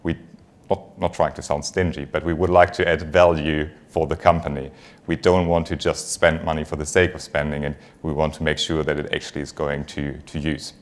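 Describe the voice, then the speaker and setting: Man, German accent, low deep voice, conversational conference speaker, light humour. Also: flat delivery, moderate pace. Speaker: finance executive giving a conference talk. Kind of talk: conference presentation.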